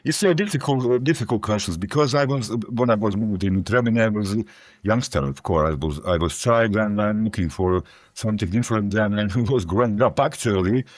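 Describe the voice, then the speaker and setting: A man answers interview questions, stopping and restarting as he hunts for English words. His voice sits fairly low and sounds dry and close. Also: no room sound. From Croatia. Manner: halting, searching for English words with many restarts. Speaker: guest.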